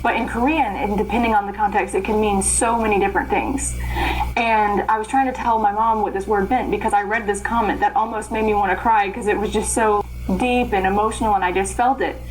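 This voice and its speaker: A young woman interpreter talking in a casual, animated way as an interview guest, rambling and laughing often. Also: mid-range voice, brisk, a little hiss or hum, rough recording. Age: young adult.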